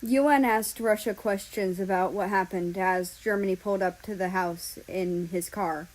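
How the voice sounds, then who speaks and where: A woman's voice: medium pitch, normal speed, poor audio. A woman narrates a story in a mid-range voice. She reads expressively, as if telling a tale from a book. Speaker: fan fiction reader.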